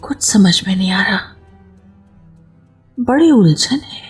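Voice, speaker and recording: An actress playing an innocent, puzzled young wife in a radio play, hesitant and questioning. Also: female voice, medium pitch, lively intonation, slow. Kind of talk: Hindi radio play acting. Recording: faint music under a radio play.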